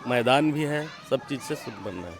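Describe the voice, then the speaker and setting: A man's voice: mid-range voice, lively intonation, normal speed. A man answering informally as a villager in an outdoor interview. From village in Chhattisgarh, India. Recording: crowd chatter outdoors.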